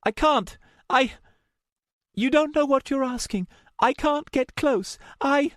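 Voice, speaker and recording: A man's voice: high-pitched, expressive, moderate pace, a little mellow, no echo, studio quality, voice pushed up. A man voicing a frightened, defiant young woman in an audiobook story, strained, emotional and insistent. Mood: frightened, pleading.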